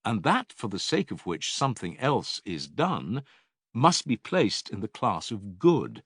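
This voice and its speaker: An actor playing an older philosopher in an audiobook dialogue, a patient, probing teacher with measured, rhetorical and emphatic delivery. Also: male voice, fairly low pitch, very animated, fairly bright tone, husky, dry recording, full character voice. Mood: reasoning.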